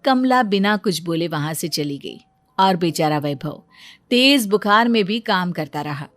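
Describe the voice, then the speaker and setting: A woman narrating a scripted bedtime cartoon story in a calm storytelling manner. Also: lowish voice, a little slow, no echo, faint music behind.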